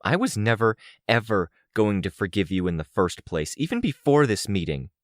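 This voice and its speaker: A man's voice: on the high side, normal speed, no room sound, clean studio sound, voice pushed up, close to an everyday voice. A man voicing a wounded, furious young heroine in an audiobook, with bitter, emphatic, clipped phrasing. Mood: angry, hurt. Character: wounded, furious young woman, intense first-person heroine.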